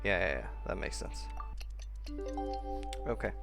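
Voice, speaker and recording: A man's voice: low voice, lively intonation, measured pace, vocal fry, a bit bright, faint music behind. Man rambling through casual game commentary. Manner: rambling, thinking aloud. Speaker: game streamer.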